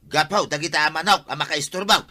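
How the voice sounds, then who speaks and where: Comedy skit: querulous Filipino village grouch, played by a man, Ilocano accent, very forceful and slightly high-pitched. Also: fast. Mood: grumbling. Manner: querulous, insistent. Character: grouchy village man forever complaining about noisy roosters. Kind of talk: amateur comedy skit acting.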